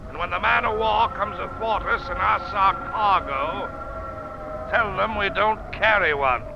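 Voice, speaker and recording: Gruff mean ship captain barking orders, gravelly, old muffled radio play. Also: male voice, high-pitched, noisy background. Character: ruthless, blustering slave-ship captain of 1850. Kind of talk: 1940s radio drama acting.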